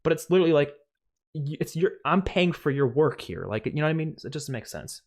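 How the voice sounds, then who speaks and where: A man's voice: fairly high pitch, a little fast. Young man streaming, loose New York lilt. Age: young adult. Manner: animated, swearing, laughs a lot. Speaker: streamer host. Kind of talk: casual live stream Q&A. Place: small home room, indoors. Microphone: studio mic on boom, headphones.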